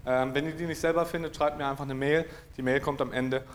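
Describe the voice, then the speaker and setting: A man from northern Germany lectures on a technical subject in a dry, understated way. He hesitates often, fills pauses and clears his throat between points. His voice sits at an ordinary middle pitch over a faint background hiss. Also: fairly even intonation. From East Frisia, northern Germany. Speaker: conference speaker. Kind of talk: technical talk to a live audience.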